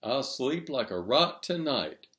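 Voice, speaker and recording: A man voicing a warm, wise elderly woman in a storybook reading, gentle and plain-spoken. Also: medium pitch, very animated, slow. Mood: warm, contented.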